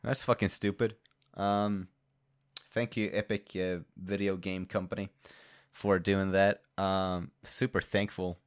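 A man's voice: low voice, little pitch movement, takes it slowly, no echo, studio quality. A young man from Sweden with a Swedish accent, co-hosting a podcast in rambling, joking casual chat and swearing freely. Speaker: podcast co-host.

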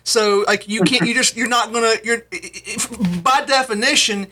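Male co-host, high bright voice, emphatic. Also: moderate pace, breathy edge. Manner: emphatic, punchy declarative phrases. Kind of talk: casual discussion.

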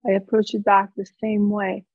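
A woman's voice: medium pitch, completely flat delivery, moderate pace, slightly rough, no echo. Croatian-accented woman doctor, dry lo-fi sound. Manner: earnest explaining, frequent fillers and restarts. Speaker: physician guest. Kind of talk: conversational interview answers. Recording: dull, dry, slightly lo-fi sound.

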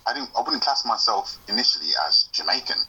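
A man's voice: mid-range voice, animated, quick pace, sharp tone, slightly rough, distant mic, low-quality recording. A man speaking earnestly as an advocate in an interview, repeating his words as he goes. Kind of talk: casual interview answers.

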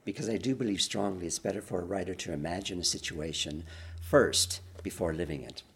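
Deep-voiced older man, thoughtful and unhurried. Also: gravelly. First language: Romanian. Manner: thoughtful, with restarts and chuckles. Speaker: writer. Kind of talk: conversation between writers.

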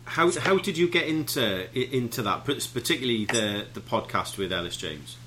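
A man's voice: medium pitch, expressive, brisk, fairly bright tone, a little hiss or hum. A man asking casual interview questions, stumbling a little over his phrasing.

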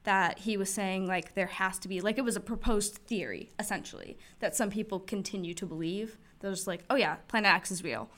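A woman's voice: medium pitch, a little fast, few pauses, fairly bright tone. Chatty young woman podcaster. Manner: chatty, filler-heavy, giggly. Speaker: podcast co-host. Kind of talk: casual conversational chat.

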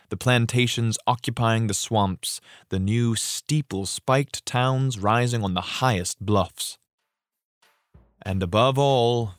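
A man with a fairly low voice reads narrative history as an actor would, dramatically and expressively. His delivery is measured storytelling, with faint music behind him. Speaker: actor reading from a history book. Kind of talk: dramatic audiobook-style reading.

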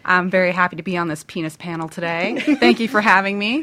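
A woman's voice: on the low side, fast talker, crowd noise. A woman speaking as a panelist in casual, bawdy radio chat. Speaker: talk show panelist.

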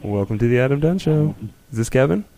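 A man's voice: mid-range voice, expressive, fast, crowd noise. Upbeat male radio co-host. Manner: upbeat, playful. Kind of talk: casual radio chat.

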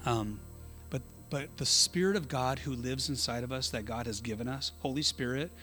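A man's voice: medium pitch, moderate pace, pushed and bright, faint music behind. A middle-aged man preaching an informal, conversational sermon as a pastor, with folksy, joking asides and self-interruptions. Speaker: pastor preaching at an evening church service.

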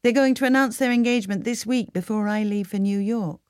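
A woman voicing a shrewd, wealthy grandmother nearing eighty, who speaks in a dry, knowing, gently teasing way. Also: medium pitch, fairly quick, no room sound, voice pushed up, close to an everyday voice.

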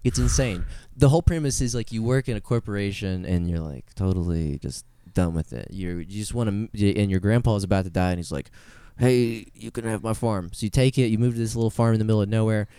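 Guy cohosting a comedy podcast, lowish smooth voice, really animated, swears casually, dry close sound. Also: fairly quick, hardly any pauses, dry recording. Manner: casual and profane. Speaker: podcast co-host.

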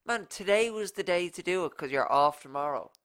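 A man's voice: high-pitched, animated, a little fast, a bit bright, clear, resonant tone, no room sound, clean studio sound. A young Irish man in his twenties with a nasal voice, telling a rambling story in a casual podcast chat. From Ireland. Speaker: podcast co-host.

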